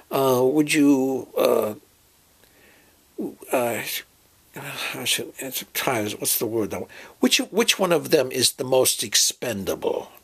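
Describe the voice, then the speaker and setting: A man playing a fussy professor and inventor who speaks in a halting, hedging way, in an amateur audio drama. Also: medium pitch, gravelly, a bit bright, husky, exaggerated voice. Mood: probing, hesitant.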